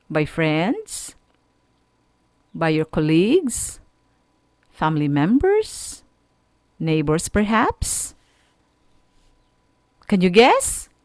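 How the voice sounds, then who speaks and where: A woman with a Filipino accent gives an instructional radio lesson at an unhurried pace. Her pitch rises and falls widely as she carefully explains and questions her students. The sound is close and dry. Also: no echo. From Philippines. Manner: deliberate, didactic, asks the listener questions. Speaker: radio school teacher.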